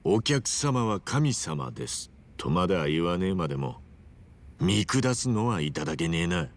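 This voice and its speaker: A man's voice: low-pitched, sing-song, moderate pace. A man playing a brash, good-hearted hero in a Japanese game dub, talking bluntly and informally. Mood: frank. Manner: blunt, informal, rough-edged speech.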